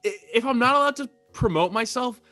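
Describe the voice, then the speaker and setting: A young man with a bright, forceful voice chats casually as a podcast co-host. He rambles and curses easily, with faint music playing underneath. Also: very high voice, lively intonation, fairly quick. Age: young adult. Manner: rambling, profane. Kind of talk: casual group chat.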